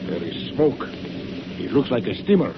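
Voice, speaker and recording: An actor playing a dutiful submarine first officer in an old-time radio drama, clipped, formal and deferential. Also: male voice, medium pitch, sing-song, moderate pace, natural voice. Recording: muffled, very noisy old radio recording.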